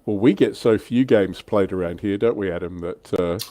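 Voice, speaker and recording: A man's voice: sits low, normal speed, mellow, no echo. A middle-aged man, hosting a casual livestream chat about tabletop wargaming. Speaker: livestream host.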